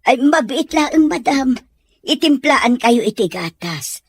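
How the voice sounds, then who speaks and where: A woman's voice: high voice, animated, takes it slowly, slightly rough, no room sound, full character voice. A woman playing a humble, dutiful adopted young woman in an Ilocano audio drama, pleading and deferential. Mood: deferential, reassuring.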